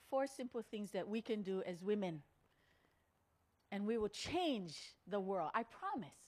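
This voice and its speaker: A middle-aged or older woman, giving a motivational storytelling speech in an earnest, deliberate way with dramatic pauses. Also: medium pitch, darkish tone. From Hawaii. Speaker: speaker at a women's conference.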